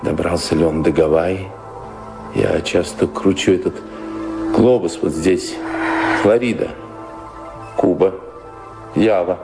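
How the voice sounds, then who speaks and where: Deep, slow, wistful man. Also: vocal fry, over loud music, full character voice. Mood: wistful, brooding. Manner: slow, brooding, reflective with long pauses. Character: wistful man haunted by longing for a seafaring life. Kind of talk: theatrical radio drama acting.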